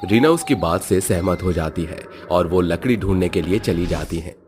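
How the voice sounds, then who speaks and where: A man's voice: low-pitched, expressive, moderate pace, a little mellow, a little hiss or hum. A man reading scripted horror story narration as the narrator. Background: music.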